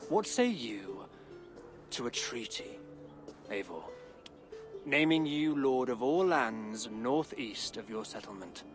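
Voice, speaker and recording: A dignified, pious king, speaks very slowly and with formal care. He sounds thoughtful and regal, in cinematic game style, over clearly audible music. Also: mid-range voice, sing-song. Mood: earnest, persuasive. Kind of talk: dramatic video game acting. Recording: music under the voice.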